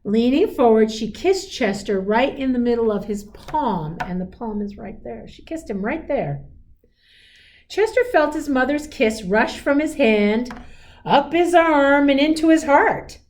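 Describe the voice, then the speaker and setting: A woman reading a picture book aloud to children in a deliberate, expressive, teacherly way, adding simple explanatory asides. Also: sits high.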